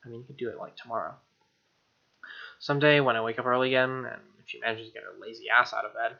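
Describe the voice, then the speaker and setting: A man's voice: mid-range voice, fairly even intonation, fast, vocal fry, bright, forceful tone, muffled recording. A man reading a visual novel aloud as a gamer, mixing narration with informal, slangy asides. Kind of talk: dramatic reading with casual asides.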